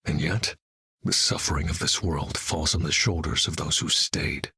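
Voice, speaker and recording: A battle-hardened video game vigilante, grim and brooding. His voice is low and very husky, recorded bone dry, with a deadpan edge. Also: moderate pace, no room sound, heavily acted. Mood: somber. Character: grim, battle-hardened male vigilante leader with keen hearing. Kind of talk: video game character voice lines.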